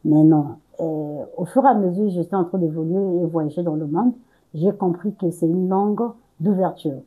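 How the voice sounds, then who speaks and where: A woman's voice: lowish voice, moderate pace, narrow, tinny audio. A young woman from Congo answering interview questions, hesitant, searching for words with many fillers and restarts. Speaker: entrepreneur interview guest.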